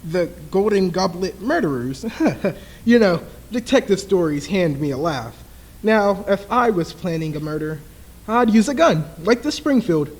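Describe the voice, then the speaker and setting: A man playing a smooth, menacing husband in an old-time radio thriller, outwardly soothing and patronizing. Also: sits high, lively intonation, a little slow. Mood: toying, menacing. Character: smooth, menacing husband toying with his frightened wife.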